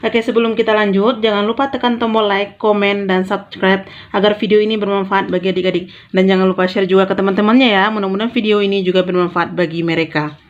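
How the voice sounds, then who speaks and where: A woman's voice: mid-range voice, fairly even intonation, fast. A woman explains math step by step in an informal, big-sisterly way, repeating key phrases as she writes. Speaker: math tutor. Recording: dull, lo-fi sound.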